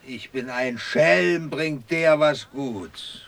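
A wary, simple castle servant in a radio fairy tale. His voice is fairly high and very drawn out, over loud noise. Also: extremely slow, creaky, distant mic, exaggerated voice. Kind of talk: rhymed radio play acting.

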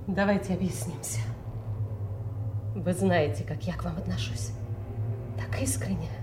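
A woman's voice: lowish voice, steady tone, a little slow, reverberant, music in the background, close to an everyday voice. An actress playing a jealous, probing noblewoman in a classical radio drama, theatrical and insinuating in delivery. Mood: intimate, coaxing.

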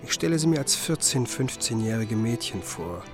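An actor playing a pensive musicologist who tells his story in a literary radio drama, reflective and measured. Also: mid-range voice, very animated, over music, lightly acted. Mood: wistful toast. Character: pensive male musicologist, wistful first-person storyteller.

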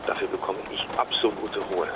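A man's voice: sits low, steady tone, fairly quick. An actor playing a wary, powerful man being blackmailed in a radio crime drama, terse and grudging on a noisy phone call. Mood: terse, cornered. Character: wary male blackmail victim, powerful and curt.

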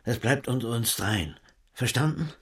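An actor playing a blunt, commanding professor in a radio play. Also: male voice, mid-range voice, expressive, normal speed, a bit bright, breathy edge. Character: blunt older male jazz professor friend.

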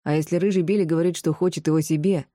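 Woman playing a male thug, menacing, demanding, mellow dark voice, dry audiobook recording. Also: deep voice, fairly even intonation, racing, no echo. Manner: bullying, demanding. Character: menacing tall red-haired male street thug. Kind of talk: audiobook character acting.

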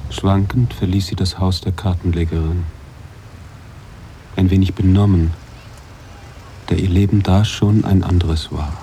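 An actor playing a brooding writer who narrates a literary radio drama in a measured, world-weary way. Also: male voice, deep voice, fairly even intonation, dark tone, a little hiss or hum. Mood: solemn, reflective.

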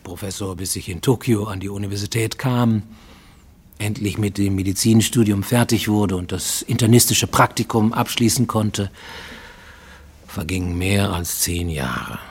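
An actor playing a brooding Japanese man on the run, confessing in a husky, intense radio play monologue that drops to near-whispers. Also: fairly low pitch. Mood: defensive, weary.

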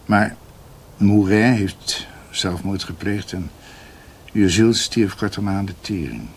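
Deep, slow, ruminative older doctor. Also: male voice, slight background noise. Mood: confiding, deliberate. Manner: halting, ruminative, emphatic. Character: older doctor recounting family history to his niece. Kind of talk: radio drama acting, conversational storytelling.

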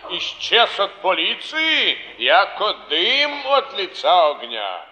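An actor playing a fallen baron with affected gentility, pompous, sardonic and mannered, in theatrical stage style. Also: male voice, high-pitched, huge pitch swings, slow pace, pushed and bright, a little hiss or hum, heavily acted. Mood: mocking. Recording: echoey theatre hall, distant, muffled.

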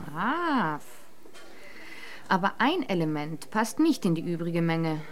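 An actress playing a cool, cheeky young crime novelist and sleuth in a radio play, wry and self-assured. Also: female voice, on the low side, very animated, takes it slowly. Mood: amused, sly. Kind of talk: German radio play dialogue.

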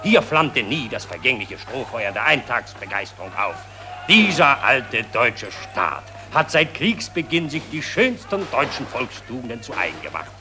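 An actor playing a self-important patriotic journalist, declaiming in lofty, high-flown phrases in a 1940s radio play. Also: male voice, sing-song, moderate pace, natural voice. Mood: grandiloquent. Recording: old radio recording with music behind.

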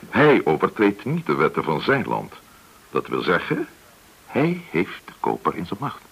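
A polite probing govt agent in a radio play, deep gravelly voice, careful explainer. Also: male voice, wildly swooping pitch, normal speed, fairly bright tone, a little hiss or hum, heavily acted. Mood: grave, explaining. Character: polite, probing male government agent recruiting an informant.

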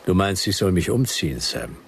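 An actor playing a laconic hardboiled detective who narrates a radio play in a dry, clipped, gravelly delivery. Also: male voice, sits low, big pitch swings, normal speed, heavily acted.

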